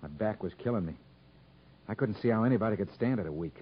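An actor playing a stubborn, plain-spoken convict gunsmith with a rural American accent in a 1950s radio drama. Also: male voice, fairly low pitch, animated, fast, breathy edge. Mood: reflective, earnest. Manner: folksy, ungrammatical, earnest speech. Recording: muffled vintage 1950s radio recording.

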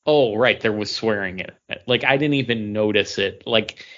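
A man's voice: lowish voice, moderate pace, fairly bright tone. A man co-hosting a comics podcast, chatty and leaning on the word "like". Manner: chatty, leans on "like". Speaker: podcast co-host. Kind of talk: casual chat. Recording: dull lo-fi audio.

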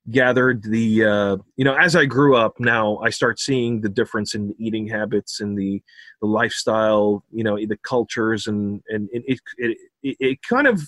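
A man's voice: medium pitch, no room sound. Man with an American accent. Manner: relaxed, laughs often, repeats words. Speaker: bodybuilding coach and guest. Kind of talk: casual podcast interview.

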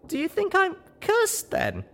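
Squeaky high-pitched young pony girl, done by a man, anxious, earnest, impulsive, swooping intonation, storybook character. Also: wildly swooping pitch, measured pace, vocal fry, a bit bright, exaggerated voice. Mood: worried. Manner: earnest, questioning, squeaky when flustered.

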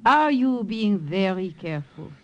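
An actress playing an older widow in a 1940s radio drama, gentle and motherly, speaking English. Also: mid-range voice, big pitch swings, unhurried, highs cut off. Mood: warm, earnest. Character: older French widow, kindly and protective.

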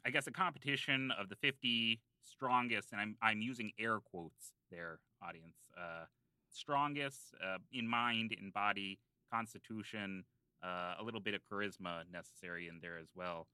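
A man with a very bright, forceful voice on the low side, co-hosting a comedy podcast. He improvises in character, halting and rambling through mock-earnest explanations. The sound is close and dry. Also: clear, resonant tone, no echo. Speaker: podcast co-host.

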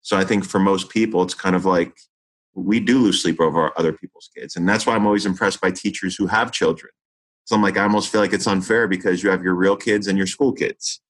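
Man, forties, very low voice; an earnest teacher thinking aloud in conversation, full of restarts. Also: fairly even intonation, fairly quick, a bit bright, poor audio. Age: 40s. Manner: earnest, reflective, many restarts and fillers. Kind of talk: interview conversation.

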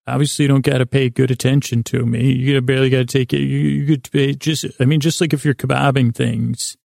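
A man with a deeply crackly, gravel-edged voice rambles through a bedtime podcast monologue. His tone is mellow and fairly flat in melody. He wanders whimsically, tripping over and repeating words as he goes. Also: fast talker, no room sound, studio quality. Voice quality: gravelly, creaky. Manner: meandering, stumbling, repeats and breaks off words; silly, whimsical, soothing intent. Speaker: podcast host.

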